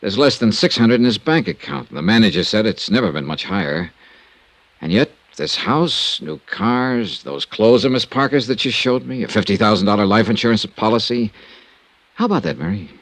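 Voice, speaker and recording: An actor playing a shrewd, courteous American insurance investigator in a 1950s radio drama, clipped and probing. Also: male voice, on the low side, lively intonation, moderate pace, a bit bright, no echo. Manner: probing, smooth, clipped hard-boiled delivery. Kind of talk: 1950s radio detective drama, first-person narration. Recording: vintage radio recording with music.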